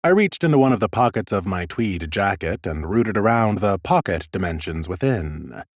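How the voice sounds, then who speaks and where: A man reading scripted first-person fantasy narration for an audiobook in an expressive, theatrical way. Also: low voice, normal speed, no echo, clean studio sound.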